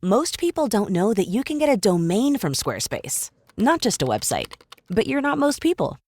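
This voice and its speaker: Female ad announcer, husky and very animated, recorded dry and close. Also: medium pitch, moderate pace, dry recording. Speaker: advertisement announcer. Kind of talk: scripted ad voice-over.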